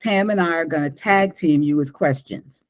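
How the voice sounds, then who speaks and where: A woman's voice: low voice, moderate pace. A woman hosting a podcast interview, asking questions in a playful, enthusiastic way. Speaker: podcast host. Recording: dull lo-fi sound.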